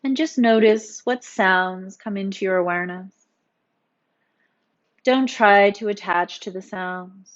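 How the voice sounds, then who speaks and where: A woman yoga instructor narrating a guided meditation in a soothing, gently encouraging, instructional manner. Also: mid-range voice, slow pace, lo-fi sound.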